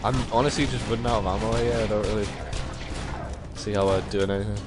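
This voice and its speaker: A man's voice: fairly low pitch, steady tone, quick pace, over music. A young man chatting casually while gaming, telling anecdotes and giving opinions. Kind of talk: casual chat while playing a game.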